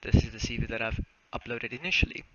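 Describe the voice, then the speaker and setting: A young South African man giving a formal, step-by-step narrated walkthrough of software. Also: medium pitch, fast, no room sound. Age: young adult. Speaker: student software developer presenting a demo. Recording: muffled lo-fi mic, faint noise, clicks.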